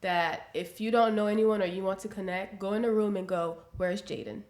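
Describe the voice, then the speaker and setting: Casting: woman, mid-pitched, earnest and encouraging podcast guest, roomy echoing recording. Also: fairly even intonation, a little fast, a little mellow. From Dominican Republic. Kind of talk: casual podcast conversation, giving advice. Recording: roomy, echoing indoor sound.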